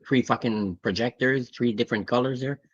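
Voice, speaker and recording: A man's voice: mid-range voice, a little fast, slightly rough. A man, telling a story in rambling, casual chat with easy swearing. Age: 60s. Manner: rambling, swears casually, acts out quoted voices. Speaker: guest on comic livestream. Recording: muffled lo-fi call audio.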